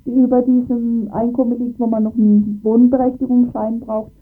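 A woman's voice: on the high side, little pitch movement, moderate pace. A woman explaining local issues in a radio interview, often searching for her words. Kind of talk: political discussion in a radio interview. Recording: tinny phone-like band, music behind.

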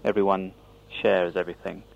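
A man speaking haltingly and informally as he is interviewed. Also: low-pitched, level tone, creaky. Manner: hesitant, halting. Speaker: interviewee describing a prison visit. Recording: dull lo-fi radio interview recording.

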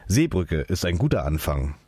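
A man's voice: sits low, big pitch swings, moderate pace, mellow, husky, no room sound. A man speaking as an activist, delivering a scripted political rally speech.

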